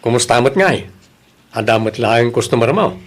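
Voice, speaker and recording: Very animated Ilocano father, played by a man. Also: mid-range voice, brisk, close to an everyday voice. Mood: curious, chatty. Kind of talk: Ilocano audio drama acting.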